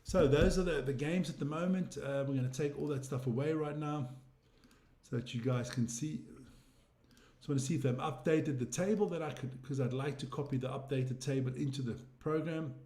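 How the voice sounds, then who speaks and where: A man with a South African English accent talks casually on a live stream, rambling and answering viewers as he goes. His voice sits a bit high with a mellow, soft tone. The room around him is roomy and echoey. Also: a little fast. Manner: rambling, chatty, reading viewer comments aloud. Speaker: livestream host.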